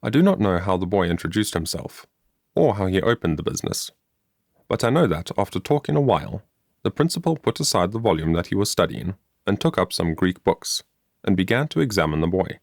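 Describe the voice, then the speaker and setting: A man reading a moral story as an audiobook narrator, in a measured, scripted storytelling manner. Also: sits low, big pitch swings, gravelly, no echo, clean studio sound.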